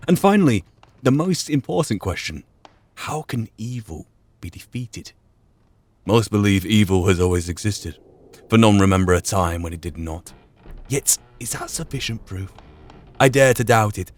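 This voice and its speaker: A man giving measured, reflective commentary and reading aloud during a video game playthrough. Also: lowish voice. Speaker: gaming channel player.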